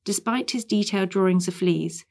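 A woman reads a scripted essay in a measured, articulate way. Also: mid-range voice, vocal fry, a little mellow. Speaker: article reader. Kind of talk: scripted narration, read essay.

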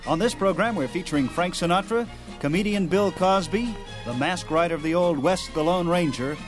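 A man's voice: high-pitched, moderate pace. A man hosting a radio show with scripted announcer narration. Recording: loud music under the voice. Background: loud music.

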